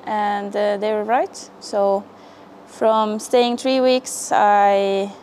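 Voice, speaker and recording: A woman's voice: medium pitch, level tone, very slow, a little mellow, a little hiss or hum. A woman coal miner answering an interview casually, hesitating with fillers.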